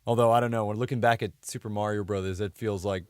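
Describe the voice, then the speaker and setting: Guy with a slightly low voice chatting on a podcast, thinks out loud, very dry sound. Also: steady tone, fast, clean tone, no echo. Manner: hedging, thinking aloud. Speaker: podcast co-host. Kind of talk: casual chat.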